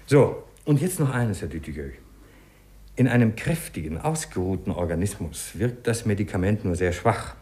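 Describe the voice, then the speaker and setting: Guy playing an odd brisk doctor, sure of himself, deep-ish and really up-and-down, old 50s radio play style. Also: lively intonation, mellow, natural voice. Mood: self-assured, instructing. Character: eccentric, brisk, self-assured male doctor. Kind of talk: 1950s German radio play acting.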